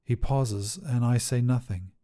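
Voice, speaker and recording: Dark-toned man narrating an astronaut's ordeal. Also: mid-range voice. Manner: deliberate, intense, short measured phrases. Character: desperate oxygen-starved astronaut telling his own story. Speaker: story narrator. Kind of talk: dramatic first-person fiction narration.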